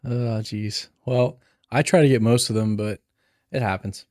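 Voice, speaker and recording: A man's voice: on the low side, fairly even intonation, measured pace, a little mellow, dry recording, clean studio sound. A man co-hosting a podcast, chatting casually and rambling with plenty of fillers. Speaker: podcast co-host. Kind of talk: casual chat.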